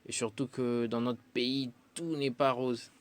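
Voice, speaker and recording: A man's voice: mid-range voice, level tone, unhurried. A man, a musician guest on a radio interview who answers thoughtfully and hesitates often. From Democratic Republic of the Congo. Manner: thoughtful, hesitant with frequent fillers.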